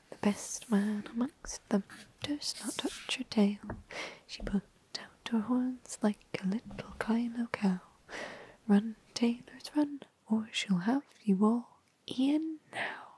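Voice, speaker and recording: Lady doing cheeky tiny mice singing rhymes in a storybook, whispery, unhurried. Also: fairly high pitch, warm tone, slightly rough, full character voice, rough recording. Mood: playful. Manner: whispered sing-song.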